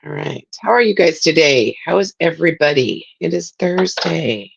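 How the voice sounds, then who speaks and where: A middle-aged woman, raspy from a spring cold, chatting casually as she hosts a live craft demo and greets viewers by name. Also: low voice, animated, normal speed, dull audio. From Southern California. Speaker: craft livestream host. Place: home craft room.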